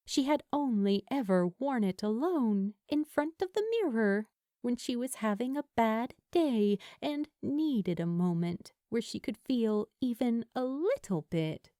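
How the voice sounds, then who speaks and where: A woman reading a story aloud as a narrator, in a measured, expressive storytelling manner. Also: mid-range voice, no room sound, studio quality. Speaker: fan fiction narrator.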